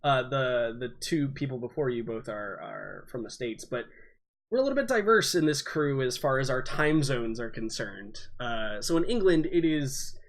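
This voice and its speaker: A man running a tabletop game, introducing the players in a friendly, halting way that often repeats words. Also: mid-range voice, big pitch swings. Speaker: game master. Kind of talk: casual introductions on a stream.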